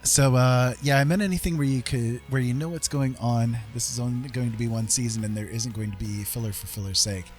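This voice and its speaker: A man's voice: mid-range voice, fairly even intonation, brisk, few pauses, faint music behind. A middle-aged man chatting casually as a livestream host, rambling and emphatic, often repeating his phrases.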